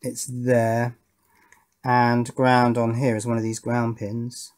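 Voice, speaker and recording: A man's voice: medium pitch, completely flat delivery, a little mellow. Middle-aged Englishman musing at his workbench. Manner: musing, self-questioning, measured. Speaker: electronics hobbyist presenter. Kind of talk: casual hands-on workbench commentary, thinking aloud. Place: indoor electronics workbench.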